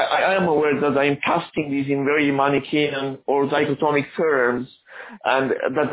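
A man who grew up in Argentina, an author answering interview questions in a halting, thoughtful way with many restarts. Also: fairly high pitch, phone-quality sound.

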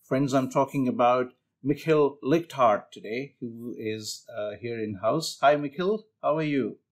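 A man hosting a podcast, greeting listeners brightly and asking questions in a chatty way with some fillers. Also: medium pitch, unhurried. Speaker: podcast host.

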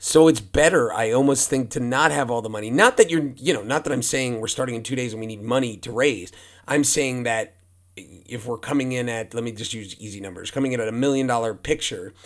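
A hoarse man, an indie film producer, talking frankly as a podcast guest, rambling and repeating himself. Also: medium pitch, fairly quick. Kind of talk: casual podcast guest talk. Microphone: speaking near a humidifier.

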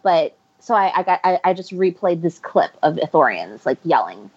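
A woman's voice: fairly low pitch, very animated, normal speed, slightly rough. Rambling woman guest from Ecuador, muffled audio. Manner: rambling, self-correcting, laughs often. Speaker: guest author. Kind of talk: casual interview chat.

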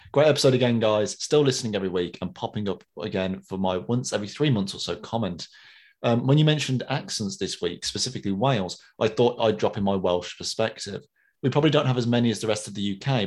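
A man, co-hosting a podcast in casual chat, reading listener mail and laughing easily. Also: lowish voice. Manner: relaxed, chatty, often laughing. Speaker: podcast co-host.

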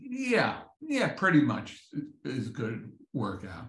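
A man with a middling pitch talks in halting bursts, restarting his sentences often. His humor is dry and self-deprecating. His voice carries a roomy, echoey sound. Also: huge pitch swings, fairly bright tone. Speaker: comedy writer and professor. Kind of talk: casual interview answers. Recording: roomy echo.

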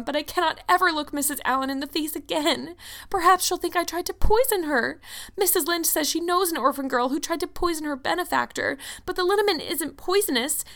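A woman acts out an excitable young orphan schoolgirl from a storybook. The voice sits very high, well above an everyday register. She is effusive and dramatic, easily mortified, and spills out her feelings in long, melodramatic sentences. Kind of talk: storybook character voice.

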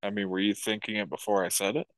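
A young man chatting casually as a podcast co-host, with crude, deadpan jokes. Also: on the low side, fast, dry recording, low-quality recording. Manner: crude, joking, deadpan asides. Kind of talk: casual chat.

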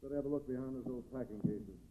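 A man acting as an urbane gentleman sleuth, determined and commanding, with quick delivery. It has the style of a 1940s radio mystery, heard on a tinny old recording. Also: medium pitch, heavy background noise, lightly acted. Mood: determined, wary.

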